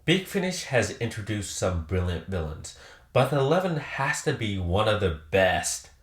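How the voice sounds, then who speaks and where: A man's voice: fairly low pitch, very animated, normal speed, roomy sound. A man presenting a scripted countdown review with emphatic, enthusiastic delivery. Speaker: host presenting a ranked countdown.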